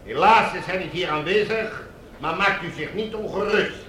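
An actor playing a smug, commanding ruler of a Mars colony in a radio drama, mockingly formal and menacingly polite. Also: male voice, high-pitched, moderate pace, pushed and bright, echoey room, slight background noise, poor audio.

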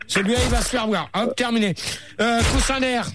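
Guy hosting a rude cb radio debate, high voice, swears and shouts a lot, crackly sound. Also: a little fast. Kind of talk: rowdy crude banter over CB radio.

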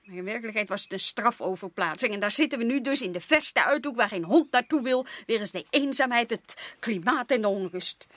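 A disillusioned wife of a colonial official in a radio drama. Her voice sits fairly high, and she talks in a pointed, reproachful way, worn down and sharp-tongued. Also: lively intonation, fairly quick, few pauses, dry recording. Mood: bitter. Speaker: radio play actor. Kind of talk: radio drama acting in Dutch.

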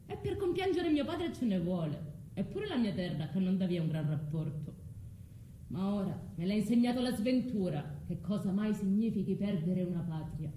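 A woman's voice: medium pitch, expressive, moderate pace, warm tone, lightly acted. An actress with a southern Italian accent playing a bitter, vengeful abandoned foreign woman in a theatrical stage monologue. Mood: bitter, defiant. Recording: echoey room, faint background noise.

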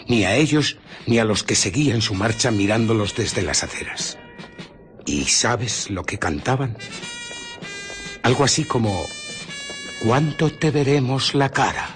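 An actor playing a bitter, haunted scientist and fugitive, recounting his ordeal in a radio play with theatrical, deliberate pauses. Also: medium pitch, expressive, music in the background, natural voice. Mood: bitter irony. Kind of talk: dramatic radio play narration.

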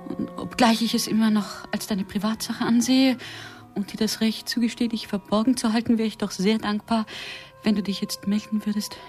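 A woman acting an anxious, distraught wife in a German radio play, urgent and at times hushed. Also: a little high, steady tone, moderate pace, faint music behind, close to an everyday voice. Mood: tense, restrained. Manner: emotional, urgent, drifting into hushed whispers. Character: anxious, distraught wife hiding from her husband.

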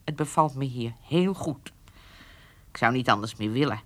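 A woman's voice: a bass voice, normal speed. An actress playing a proud, unforgiving old woman in a radio drama, with a curt, cutting manner. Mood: dry, firm. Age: older. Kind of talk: naturalistic radio drama dialogue.

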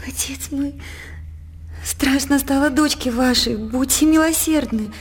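An actress playing a young, devout and dreamy princess in a radio drama, speaking in a heartfelt, formal theatrical way. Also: female voice, high-pitched, measured pace, a little hiss or hum. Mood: fearful, pleading. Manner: heartfelt, declamatory, formal verse-like phrasing. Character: young princess daughter, devout, dreamy, earnest yet proud.

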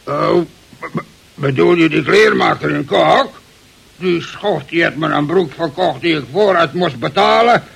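A man's voice: a little high, a little slow, vocal fry, fairly bright tone, slightly rough, full character voice. An actor playing a big, jovial, drunken sailor in a radio play, rambling, slurring and stammering as he tells his tall tales. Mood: indignant. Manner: rambling, stammering, bursting into song. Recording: hissy old radio play recording.

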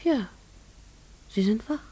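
An actress playing an anxious, plain-spoken middle-aged farmer's wife in a German radio play, hushed and ominous. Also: female voice, fairly high pitch, expressive, takes it slowly, husky, heavily acted. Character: anxious farmer's wife recounting an eerie visitation.

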